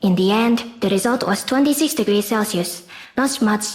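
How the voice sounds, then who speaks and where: A woman's voice: medium pitch, moderate pace, darkish tone. A woman reading a scripted educational explainer in a formal, step-by-step manner, as the presenter of a class project.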